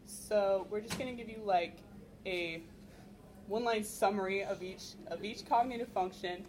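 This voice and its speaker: A young woman explaining personality theory at a convention panel without a microphone, often pausing on "um". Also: mid-range voice, a little mellow, slight background noise. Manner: explanatory, filler-heavy with frequent ums. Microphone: no microphone, recorded from the room.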